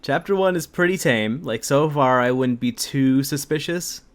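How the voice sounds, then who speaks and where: A man's voice: mid-range voice, moderate pace. A man hosting an amateur fan fiction reading, moving between story narration and amused, joking banter.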